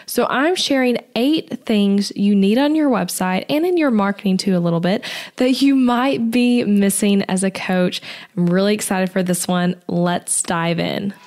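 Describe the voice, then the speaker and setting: A woman's voice: medium pitch, measured pace, a little mellow. A young adult woman hosting her own podcast, talking in an upbeat, chatty way while getting her voice back after being sick. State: recovering voice after illness. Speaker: podcast host, web designer. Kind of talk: solo podcast talk.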